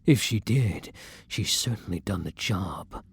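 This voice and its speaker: A man playing a gentle, tormented vampire in an intimate ASMR roleplay, speaking in a breathy hush with halting, dramatic pauses. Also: low-pitched, animated, exaggerated voice. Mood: quiet anger. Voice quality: breathy, hushed, dropping into whispers. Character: tormented, gentle male vampire torn between hunger and compassion.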